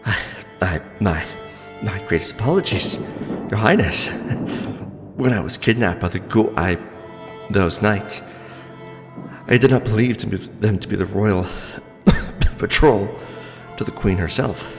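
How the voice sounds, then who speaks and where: A man acting as a nervous young necromancer in a fantasy audio roleplay, speaking formally and pleadingly with halting politeness. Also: fairly low pitch. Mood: flustered, apologetic. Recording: long hall reverb, faint music. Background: faint background music.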